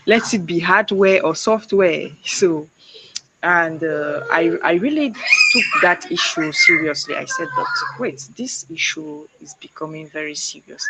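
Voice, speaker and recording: A young woman from Cameroon, a guest speaker on an online panel, talking haltingly with many fillers and restarts. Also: on the low side. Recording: noisy background.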